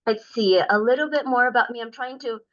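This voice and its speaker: A middle-aged woman with a bright, forceful voice speaks earnestly and at length. She pauses often with ums and uhs while introducing herself to a community group. The sound is dull and lo-fi, like a recorded meeting. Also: fairly high pitch. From Denver, Colorado. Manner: earnest, talkative, many fillers. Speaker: state transportation commissioner.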